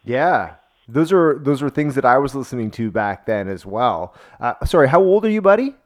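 A man's voice: medium pitch, big pitch swings, moderate pace. A man in his late forties with a Canadian accent, interviewing a guest casually as a podcast host. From Toronto, Canada.

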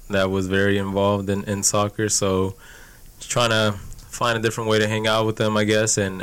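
A man's voice: on the low side, fairly bright tone, clean tone. A young man from Houston answering casually as an interview guest, in a reflective, informal way. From Houston, Texas. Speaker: youth soccer coach, podcast guest.

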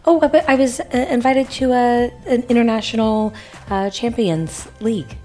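A woman's voice: fairly high pitch, moderate pace, mellow, lightly acted. Woman acting an eager, hesitant little sister, music behind. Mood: excited, nervous. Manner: hesitant, earnest, gushing. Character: eager, modest young chess champion, deferential sister. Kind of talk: improvised live radio theater. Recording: music playing over the voice.

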